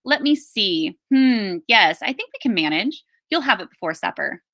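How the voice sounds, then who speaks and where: A curious young boy who tinkers and fixes things, voiced by a woman reading a storybook. He sounds earnest and sure of himself, with a bright voice. The sound is dry. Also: mid-range voice, very animated, moderate pace, no echo. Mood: confident. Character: curious, confident young boy tinkerer, eager to fix radios.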